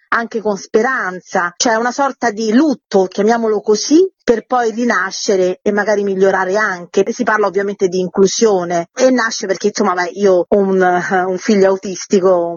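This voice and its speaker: A woman's voice: fairly low pitch, husky. A woman being interviewed as a playwright, answering conversationally in a talkative, candid, explanatory way. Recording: roomy, dull lo-fi sound.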